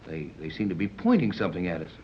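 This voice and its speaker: A protective, commanding male sea captain in a 1930s radio adventure. His pitch moves in an animated way as he gives orders and reassurance. The old recording is dull, with a light layer of noise. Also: fast talker. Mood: intent. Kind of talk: 1930s radio drama acting.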